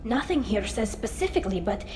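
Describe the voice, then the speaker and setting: A young alien woman played by an actress in a cinematic game, her voice ringing with long reverb at a middle pitch. She is earnest and defiant, a grieving daughter who halts when feeling takes over. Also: sing-song, a little mellow, natural voice. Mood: troubled. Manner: earnest, halting under emotion. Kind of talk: cinematic video game voice acting. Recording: roomy echo, long reverb.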